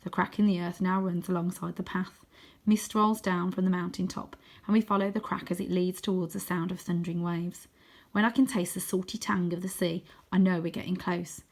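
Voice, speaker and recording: A woman narrating a children's adventure book in an expressive, dramatic way, sometimes hushed for suspense. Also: on the low side, brisk. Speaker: story reader.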